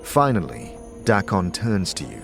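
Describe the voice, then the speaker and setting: A man's voice: deep voice, very animated, a little slow, creaky. A man narrating a scripted fantasy audio drama in the second person, as a game master would. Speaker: narrator and game master, also voicing the lead character. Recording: music under the voice.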